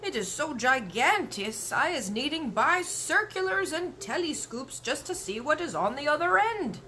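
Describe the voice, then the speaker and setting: Storybook giant, played by a woman: huge, childlike and excitable, jumbled words, lively swooping delivery with background noise. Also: sits high, moderate pace, voice pushed up, exaggerated voice. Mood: delighted wonder. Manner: garbled made-up words and broken grammar. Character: big friendly giant, childlike, excitable, awestruck.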